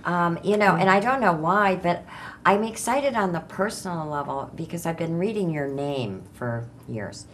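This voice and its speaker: An older woman with a low voice hosts a casual interview. She is chatty and enthusiastic, with faint noise in the studio behind her. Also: expressive, moderate pace, hardly any pauses, a bit bright. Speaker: talk show host. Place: indoor TV studio stage with curtains. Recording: faint background room noise.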